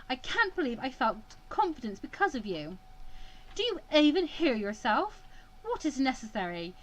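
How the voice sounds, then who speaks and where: A woman's voice: a little high, a bit bright, poor audio. A woman voicing an earnest, emotional young female student in a visual novel, stammering and impassioned.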